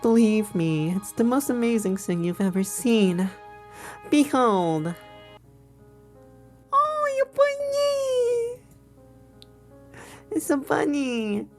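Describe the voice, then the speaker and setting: A sweet, excitable young woman from a dating game, gushing theatrically. Her pitch leaps around over background music. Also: takes it slowly, long pauses. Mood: excited, sweet. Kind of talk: visual novel game acting.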